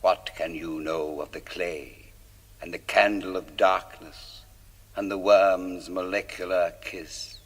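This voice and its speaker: Taunting ghost poet, deep husky voice, long cavernous reverb, slow, intimate, eerie radio drama. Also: male voice, steady tone, exaggerated voice. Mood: incantatory. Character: ghostly dead poet, taunting male voice in the night. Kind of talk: radio mystery drama, eerie verse recital.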